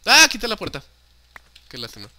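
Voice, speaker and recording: A man's voice: high-pitched, wildly swooping pitch, fairly quick, bright, forceful tone. A young man with a Mexican Spanish accent, chatting casually and joking as he narrates his own Minecraft play, swearing freely and dropping in English words. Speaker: gaming YouTuber.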